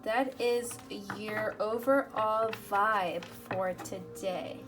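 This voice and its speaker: A woman whose first language is Spanish, doing a tarot reading at a table and murmuring softly as she shuffles and reads the cards aloud. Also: mid-range voice, very slow, over music. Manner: soft-spoken, murmuring while shuffling, reading card text aloud. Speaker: tarot reader. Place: indoors at a table.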